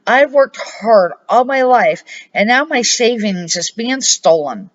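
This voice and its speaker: A woman's voice: a little high, animated, takes it slowly. An older woman voicing a grumbling old grandfather in a children's storybook. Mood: upset. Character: grumbling old grandfather fretting about money. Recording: dull lo-fi home recording.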